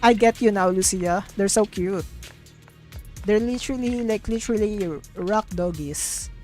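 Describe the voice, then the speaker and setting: A woman streaming a video game, chatting and reading dialogue aloud in an excitable, gushing way. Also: mid-range voice, measured pace. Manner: gushing and excitable, exclaims and repeats herself. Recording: background game music. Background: game music.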